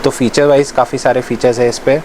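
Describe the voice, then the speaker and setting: A man's voice: medium pitch, steady tone, brisk, a little mellow. A man from India giving a casual, explanatory product demonstration, mixing English words into his talk. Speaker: shop presenter reviewing riding gear. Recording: clear background noise, vehicle sounds.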